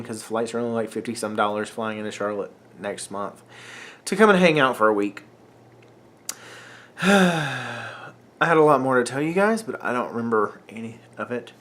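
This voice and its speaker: Young guy from north carolina, casual vlog rambling, kinda croaky from a cold, lots of "like" and "um". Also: mid-range voice, lively intonation, moderate pace, pauses often, fairly bright tone. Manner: rambling, filler-heavy, self-interrupting. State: getting a cold, clearing throat. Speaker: vlogger. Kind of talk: casual unedited vlog chat. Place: room at home. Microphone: camera mic, facing camera under ring light.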